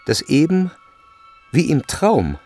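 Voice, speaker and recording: A man narrating a literary radio drama in a measured, grave and expressive way. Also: fairly high pitch, faint music behind.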